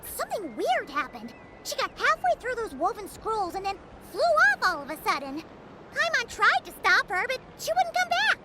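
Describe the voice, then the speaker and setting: Tiny squeaky companion, extremely high, wildly swooping delivery, excitable video game mascot. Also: female voice, moderate pace, heavy background noise, full character voice. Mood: flustered. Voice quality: squeaky, childlike. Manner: excitable, refers to self by name. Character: small excitable flying sidekick who talks in third person.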